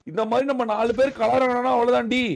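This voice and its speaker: A man chatting casually and excitedly with friends on a gaming live stream, exclaiming and repeating himself teasingly. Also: very high voice, a little fast, creaky.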